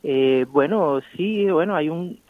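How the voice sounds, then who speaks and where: A man's voice: a little high, takes it slowly, narrow, tinny audio. A man talking as a guest on a radio interview over the phone, thoughtful, with frequent tag questions. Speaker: guest graffiti artist.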